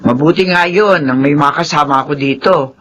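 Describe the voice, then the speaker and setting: A woman's voice: deep voice, normal speed, a bit bright, highs cut off. A woman playing a kindly, lonely older aunt in a Filipino radio drama. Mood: warm, lonely.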